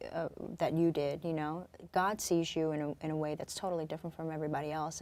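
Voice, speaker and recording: A young woman born in Korea and raised on Long Island, a singer-songwriter talking reflectively as an interview guest, with many pauses and fillers. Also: deep voice, darkish tone. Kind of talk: casual interview talk.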